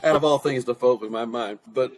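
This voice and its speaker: A man's voice: medium pitch, brisk. A middle-aged man, an author and pastor, talking conversationally and telling stories as an interview guest.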